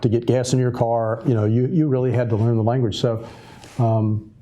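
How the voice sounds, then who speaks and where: Casting: middle-aged man, mellow tone, halting and unhurried, TV talk-show guest. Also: medium pitch, steady tone, lo-fi sound. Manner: unhurried, many restarts and fillers. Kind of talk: casual interview conversation. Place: local TV studio.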